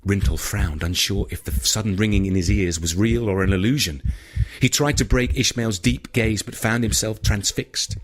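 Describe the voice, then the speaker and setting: A man's voice: lowish voice, normal speed. A man reading horror fiction as an audiobook narrator, in a scripted, dramatic storytelling style.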